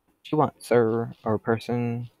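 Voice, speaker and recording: A man's voice: medium pitch, level tone, measured pace, a little mellow, no echo, low-quality recording. A teenage boy with a stuffy cold voice, rambling casually as he comments on his gameplay. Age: teens. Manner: chatty, rambling, talks to customers.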